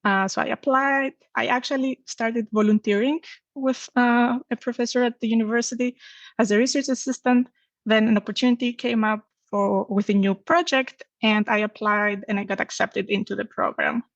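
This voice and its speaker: A woman's voice: on the high side, steady tone, normal speed, no echo, dull audio. A woman from Greece with a Greek accent, telling her own story conversationally as an interview guest. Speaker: podcast guest, academic researcher.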